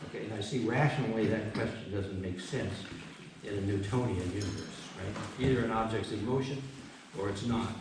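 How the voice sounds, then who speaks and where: A man speaking to a live gathering as a poet, reflective and halting, moving between informal talk and reading. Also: fairly low pitch. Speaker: poet presenting his work. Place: book launch event in an echoey room. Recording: echoey room, distant mic, faint noise.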